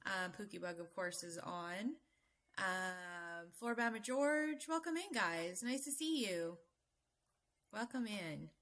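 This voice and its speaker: A woman's voice: mid-range voice, expressive, slow pace, a bit bright. A woman hosting a casual live stream, a little stuffy and congested, chatting and greeting viewers by name with frequent ums. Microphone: streaming mic.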